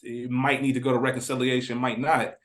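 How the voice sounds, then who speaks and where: A man's voice: medium pitch, little pitch movement, fairly quick, a bit bright. A man speaking as a podcast guest in a casual, reflective and slangy conversational way.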